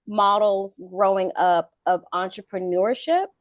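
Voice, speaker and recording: A woman in her thirties from North Carolina, a guest telling her career story in a relaxed interview with many fillers. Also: medium pitch, measured pace, dry recording, lo-fi sound. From Charlotte, North Carolina. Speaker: guest, government contracting consultant. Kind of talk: casual interview talk.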